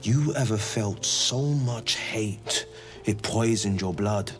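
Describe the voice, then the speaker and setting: A vengeful young monster hunter from a fantasy video game. He speaks slowly and theatrically, brooding one moment and grandly heroic the next. A prominent musical score plays behind his voice. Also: on the low side. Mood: bitter, brooding. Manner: theatrical, declamatory. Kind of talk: dramatic video game dialogue acting. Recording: loud music bed behind the voice.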